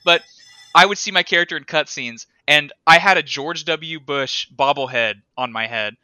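A man co-hosting a podcast in casual chat, rambling and animated, laughing often. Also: fairly high pitch, moderate pace, clean tone, no echo. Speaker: podcast co-host.

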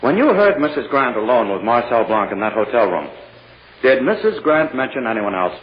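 Actor playing a halting, probing radio prosecutor. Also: male voice, mid-range voice, very animated, natural voice. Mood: pointed, deliberate. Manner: halting, careful, insistent questioning. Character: prosecuting attorney, probing, deliberate male courtroom lawyer. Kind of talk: 1940s radio drama acting. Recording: muffled, noisy old radio recording.

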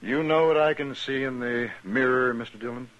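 An actor playing a cool, self-assured outlaw gang boss with a taunting, sardonic manner in a radio western. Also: male voice, mid-range voice, moderate pace, a bit bright, dull audio, natural voice. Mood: quiet menace. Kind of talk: 1950s radio western acting.

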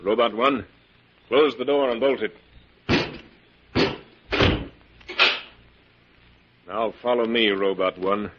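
An actor playing an imperious, murderous mad professor in a theatrical, commanding 1940s radio style. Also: male voice, lowish voice, normal speed, lightly acted. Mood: suspicious, commanding. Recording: muffled, noisy 1940s radio recording.

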